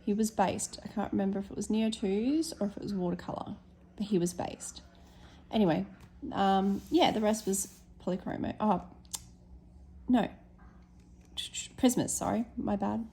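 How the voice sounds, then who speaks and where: An Australian woman, a teacher with a slightly hoarse voice, chatting casually with lots of ums as she shows her colouring pages. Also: mid-range voice, fairly even intonation, moderate pace, pauses often, warm tone. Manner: chatty, many ums, corrects herself. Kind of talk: casual flip-through chat.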